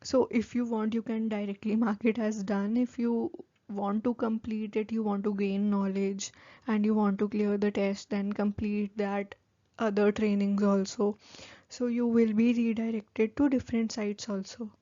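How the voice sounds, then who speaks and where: Young Indian woman, Hindi native, informal and full of fillers; flat tone, dull lo-fi recording. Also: mid-range voice, moderate pace, no room sound. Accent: Indian English. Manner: chatty, many "like" fillers, informal. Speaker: vlogger giving career advice. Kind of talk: casual advice talk to camera.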